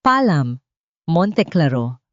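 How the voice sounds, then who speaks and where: A woman voicing a wronged, humble young maid in an audiobook, soft-spoken and emotional. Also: low voice, big pitch swings, takes it slowly, no echo, lo-fi sound, heavily acted. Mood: quiet farewell.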